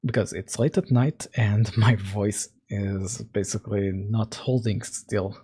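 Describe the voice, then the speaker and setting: A man hosting a game-dev livestream, hoarse and coughing while recovering, talking through his code casually with many fillers, close to the mic at night. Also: steady tone, warm tone. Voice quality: husky, deeper than usual. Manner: slow, thinking aloud with fillers. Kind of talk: casual coding livestream chat. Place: home room, at night. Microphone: close mic right in front.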